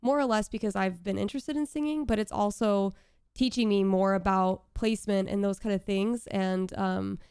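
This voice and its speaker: Young woman host, raspy fry voice, crisp dry close-mic sound, excitable chat. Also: medium pitch, moderate pace, no echo. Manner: bubbly, excitable. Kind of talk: casual interview chat. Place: home streaming room. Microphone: studio mic with headphones.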